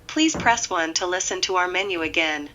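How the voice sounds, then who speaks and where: Bright deadpan automated phone menu played by a woman. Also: fairly low pitch, lo-fi sound, soft background music. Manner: deadpan, measured recitation. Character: eerie automated phone menu voice, calmly absurd. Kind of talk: automated phone system announcement.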